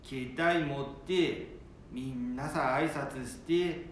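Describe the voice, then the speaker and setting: A man's voice: slow, natural voice. An actor playing a blunt old grandfather with a Nanbu dialect from northern Japan in an amateur radio drama. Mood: hearty, polite. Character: blunt, sturdy old Japanese grandfather worried for his son. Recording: very echoey room, faint rumbling noise.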